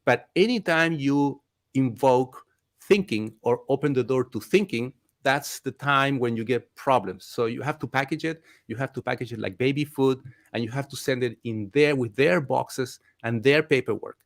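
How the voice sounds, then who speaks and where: A man's voice: medium pitch, normal speed, vocal fry. A man from Chile, a mortgage expert talking casually as a guest, stressing points by repeating phrases. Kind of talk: casual conversation.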